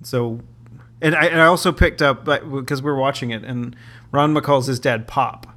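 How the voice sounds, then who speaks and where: Male co-host, bright voice, faint Canadian accent, irreverent and giggly. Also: mid-range voice, normal speed. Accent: slightly Canadian-sounding. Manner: joking, swears, laughs often. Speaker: podcast co-host. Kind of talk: casual chat.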